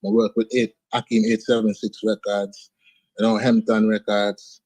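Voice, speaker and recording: A man's voice: on the low side, level tone, normal speed, darkish tone, dry recording. A man with an Antiguan accent, talking casually as a guest artist in an interview, often ending lines with "man" and "you understand". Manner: laid-back, sprinkles speech with "man" and "you understand". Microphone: video call.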